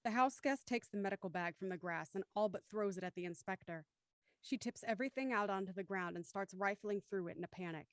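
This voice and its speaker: Female narrator, radio drama: medium pitch, measured, descriptive reading, dry sound. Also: no echo. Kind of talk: scripted audio drama narration, reading stage directions.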